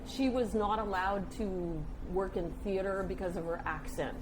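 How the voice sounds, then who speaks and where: An older woman, telling stories conversationally as an interview guest, with an animated manner. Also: medium pitch, moderate pace. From United States. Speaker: interview guest, communications specialist. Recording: clear background noise and room ambience.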